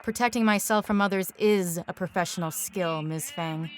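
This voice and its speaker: A woman's voice: lowish voice, moderate pace, close to an everyday voice. Woman playing hard-boiled noir lady detective over music. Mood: brooding, determined. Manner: sardonic, clipped tough-talking delivery. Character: hard-boiled, hard-drinking female private eye, wry and defiant. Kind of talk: noir radio drama acting, monologue and dialogue. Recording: music bed behind voice.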